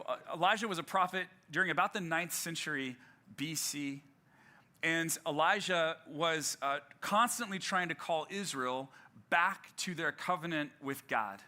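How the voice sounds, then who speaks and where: A man's voice: fairly high pitch, sharp tone. A male pastor giving a sermon in a conversational way, with frequent fillers and restarts and some self-deprecating humor.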